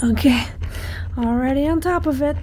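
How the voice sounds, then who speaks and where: A woman playing a feisty, higher-voiced heroine. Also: moderate pace, darkish tone, a little hiss or hum, lightly acted. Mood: flustered. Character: defiant, flustered woman fighting back. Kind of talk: audio horror drama acting.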